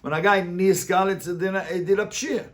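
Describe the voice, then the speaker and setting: A man's voice: high-pitched, steady tone, normal speed. A male rabbi teaching an interactive Talmud lesson, explaining emphatically and repeating phrases to make his point.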